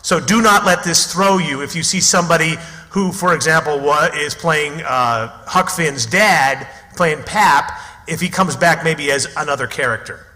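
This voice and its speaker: A man's voice: fairly high pitch, moderate pace, pushed and bright, soft background music. A man speaking as host and announcer of a live radio theatre show, introducing it from the stage. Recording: echoey theatre hall recording.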